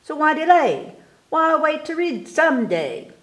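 A woman reading children's poems aloud at storytime, expressively and with careful, animated delivery for young listeners. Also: sits high, reverberant, poor audio. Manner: animated, unhurried, enunciating for children. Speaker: library storytime reader. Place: indoors in a room, book filmed on table.

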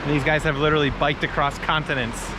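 A man in his thirties from the United States, vlogging excitedly to a handheld camera held at arm's length while he travels in a mountain village. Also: fairly high pitch, quick pace. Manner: excitable, exclaiming, casual. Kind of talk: travel vlog, talking to camera. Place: outdoors in a mountain village and in a car. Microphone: handheld action camera at arm's length. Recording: noisy outdoor ambience.

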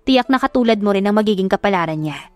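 A woman's voice: mid-range voice, animated, fast talker, darkish tone, dry recording, faint music behind, lightly acted. A Filipino woman voicing a scheming stepmother villainess, mocking and haughty. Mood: smug, threatening.

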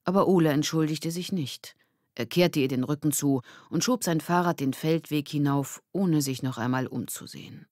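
Low-voiced woman narrating a children's story. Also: moderate pace, a little mellow, no room sound, clean studio sound. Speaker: audiobook narrator. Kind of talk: scripted narration of a children's novel.

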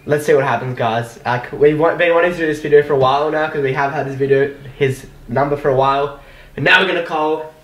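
Young male vlogger, fairly high voice, casual chat, echoey room, faint noise. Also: steady tone, fast talker, a bit bright. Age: young adult. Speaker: vlog co-host. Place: small bedroom, indoors. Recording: roomy, faint background noise.

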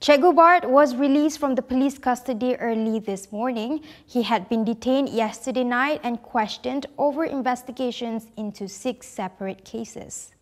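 Higher-voiced woman anchoring the news. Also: moderate pace, few pauses. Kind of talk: scripted news reading.

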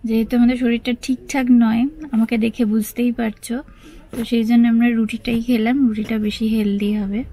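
A woman's voice: a little high, little pitch movement, fairly quick, low-quality recording. A woman in her thirties narrating a road trip vlog, chatting directly to viewers while filming on her phone. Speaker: travel vlogger. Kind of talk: casual travel narration. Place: car and roadside outdoors. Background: background music.

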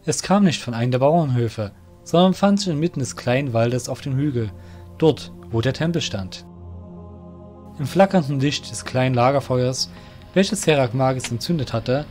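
A man narrating a scripted fantasy audio drama. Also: mid-range voice.

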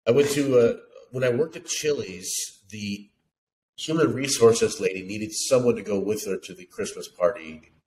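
A man's voice: mid-range voice, animated, moderate pace, darkish tone, slight background noise, poor audio. A man in his thirties, congested and laid-back, chatting on a video call headset. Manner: laid-back, crude humor. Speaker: podcast co-host. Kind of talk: casual chat.

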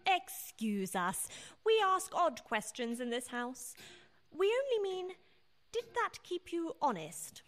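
Radio play: actress as sly, gleeful society woman, high-pitched, lively, mocking. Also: slow pace, exaggerated voice. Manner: arch, mocking. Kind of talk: period radio play acting.

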